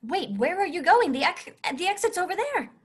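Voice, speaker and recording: High-voiced, theatrical young princess played by a woman. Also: sing-song, brisk. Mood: indignant. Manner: theatrical, insistent, lofty storybook diction. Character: romantic, indignant young princess awaiting her knight. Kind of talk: comic animated-film character acting.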